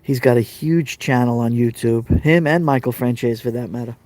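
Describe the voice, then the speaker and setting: A man's voice: mid-range voice, normal speed, a little mellow. A middle-aged man with a Brooklyn accent hosting a casual live stream, chatting to viewers and reading their comments, often repeating himself. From Brooklyn, New York. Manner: chatty, repeats phrases, talks straight to viewers.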